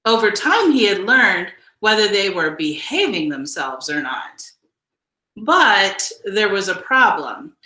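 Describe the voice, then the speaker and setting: A woman reading a children's picture book aloud in an expressive, deliberate storytelling style. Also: medium pitch, echoey room. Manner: measured, deliberate storytelling delivery with emphasized phrases. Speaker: storybook reader.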